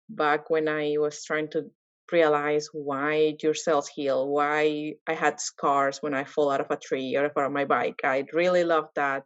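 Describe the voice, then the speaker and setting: A woman from Colombia, a medical scientist, answering interview questions in a lively way, with many fillers and restarts. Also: deep voice, no room sound, muffled recording.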